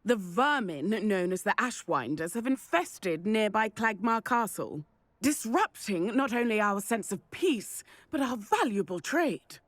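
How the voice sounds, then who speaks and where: An actress playing an indignant, fretful village shopkeeper in a video game, speaking in a formal, emphatic way. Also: female voice, medium pitch, lively intonation, unhurried, no echo. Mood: indignant, exasperated. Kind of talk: video game cutscene acting.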